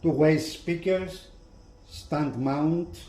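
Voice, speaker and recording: Male reviewer, relaxed tone, slow and halting, off-the-cuff walkthrough style. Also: fairly high pitch. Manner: halting, hesitant delivery with repeated phrases. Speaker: product reviewer and presenter. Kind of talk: casual unscripted product walkthrough.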